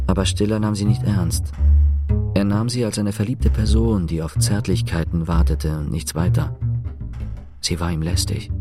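A man acting as the measured, detached narrator of a literary radio play, speaking composed, deliberate scripted prose. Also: lowish voice, natural voice.

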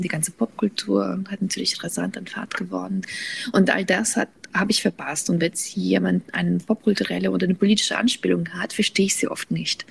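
A woman's voice: fairly low pitch, creaky, husky. A woman whose first language is Russian, answering interview questions in a reflective way and restarting her sentences often. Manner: reflective, with frequent restarts and fillers. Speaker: guest author. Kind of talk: thoughtful conversational interview.

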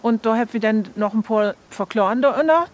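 Higher-pitched Northern German woman explaining. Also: lively intonation, a little fast. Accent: Northern German, Low German. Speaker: university project member. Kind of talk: explanatory interview.

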